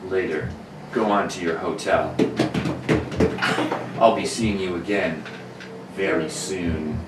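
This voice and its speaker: A man's voice: deep voice, steady tone, slow, loud music behind the voice. A man playing an earnest, determined newspaper reporter with a secret superhero identity, in a 1940s radio serial reading. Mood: suspicious. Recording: roomy, noisy, distant stage microphone.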